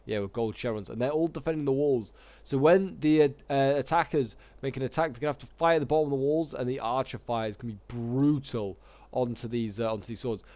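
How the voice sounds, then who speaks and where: A man with a sore throat, slightly hoarse, giving casual, rambling commentary on a strategy game battle with lots of fillers. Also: mid-range voice, quick pace, a little mellow, dry recording. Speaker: gaming YouTuber casting a multiplayer battle.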